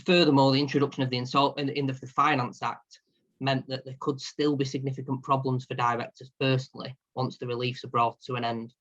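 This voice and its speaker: A man with a mid-pitched, very flat voice delivers a steady, scripted business webinar update. The sound is dull and lo-fi, as over a video call. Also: brisk. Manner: steady, reads prepared notes. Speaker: partner and insolvency practitioner.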